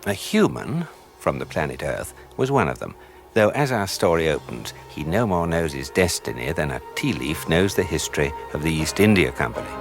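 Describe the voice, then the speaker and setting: A drily whimsical narrator for a science-fiction radio comedy. His delivery is measured, deep and expressive in pitch, over faint music. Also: full character voice. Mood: wry, measured.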